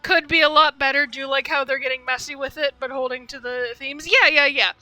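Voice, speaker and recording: A woman's voice: high voice, fairly even intonation, a little fast, pushed and bright, no echo, faint music behind, rough recording. A young woman streaming a game, chatting casually and rambling through asides as she comments.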